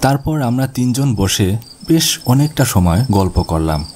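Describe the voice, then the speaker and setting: A man's voice: medium pitch, very animated, measured pace, a little mellow. A man reading scripted first-person ghost story narration for an animation. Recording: music bed behind the voice. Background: music.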